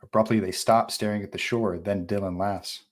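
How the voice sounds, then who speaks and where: A man's voice: on the low side, fairly even intonation, moderate pace. A man narrating a screenplay at a live table read, reading terse scene directions in short phrases.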